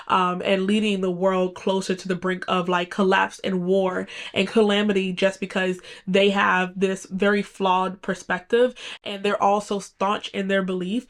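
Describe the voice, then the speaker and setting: Young woman rambling about books, lots of likes and ums, kinda stuffy from a cold. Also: mid-range voice. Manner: chatty, lots of "like" and "um" fillers. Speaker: book vlogger. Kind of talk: casual book review chat.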